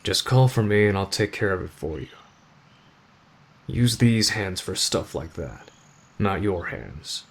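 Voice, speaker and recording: A man acting in an ASMR roleplay as a gruff, aloof teenage delinquent, deadpan and terse but protective of a friend. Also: low-pitched, measured pace, long pauses, exaggerated voice. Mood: gruffly caring.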